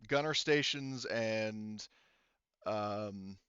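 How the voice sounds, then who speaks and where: A man acting as game master of a tabletop game, explaining rules casually in a halting, step-by-step way. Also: on the low side, bright, forceful tone, clean tone, no echo, muffled recording.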